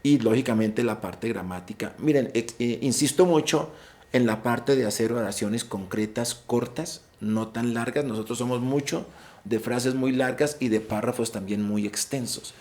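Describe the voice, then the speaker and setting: A man's voice: mid-range voice, moderate pace, a little mellow. Colombian guy explaining exam tips in a virtual session, restarts words a lot, stresses the main points. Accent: Colombian Spanish. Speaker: IELTS exam advisor. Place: online session.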